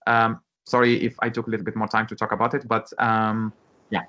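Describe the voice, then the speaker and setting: A man giving informal interview answers as a guest, halting and repeating words as he searches for phrasing. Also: on the low side, completely flat delivery, sharp tone. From Morocco. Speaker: startup founder, webinar guest. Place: online webinar call. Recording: muffled, band-limited online call.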